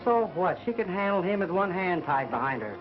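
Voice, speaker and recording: A man's voice: high voice, sing-song, brisk, music in the background. An actor playing a wry, wisecracking studio man in a 1930s film acting style. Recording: distant muffled 1930s film soundtrack.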